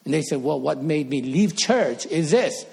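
A man's voice: fairly high pitch, expressive, moderate pace, vocal fry, darkish tone, breathy edge. A middle-aged man from Korea, preaching an earnest sermon as a pastor and rising to emphatic peaks.

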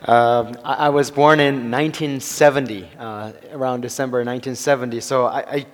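A man in his forties preaching a sermon to his church, halting with many fillers and restarts and chuckling between phrases. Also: mid-range voice, fairly even intonation. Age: 40s. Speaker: pastor. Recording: long hall reverb.

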